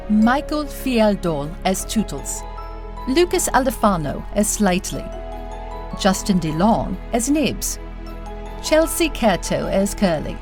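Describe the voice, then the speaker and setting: A woman reading a scripted credits announcement as an announcer. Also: mid-range voice. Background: prominent music.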